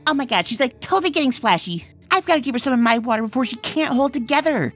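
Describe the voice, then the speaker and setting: A woman voicing a bubbly young female pony with husky, gushing, slangy talk in a comic dub. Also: sits high, lively intonation, quick pace, faint music behind. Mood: eager. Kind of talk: comic dub character acting.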